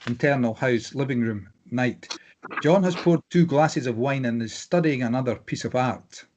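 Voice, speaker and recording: A man reading screenplay stage directions aloud as scripted narration, with a slightly husky voice. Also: medium pitch, normal speed, a little mellow, a little hiss or hum.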